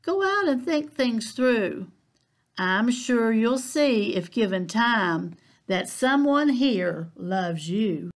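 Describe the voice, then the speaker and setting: A woman voicing a kind, gentle mother pig who soothes her piglet in an unhurried way in a storybook reading. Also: a little high, big pitch swings, voice pushed up, exaggerated voice.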